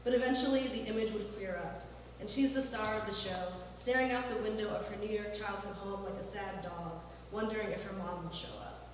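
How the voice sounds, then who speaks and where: Woman author, measured reading voice, far from the mic, big hall reverb. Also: medium pitch. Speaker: author reading her fiction. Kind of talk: literary reading at a live event. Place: large former church hall. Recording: distant mic in a very echoey hall, faint noise.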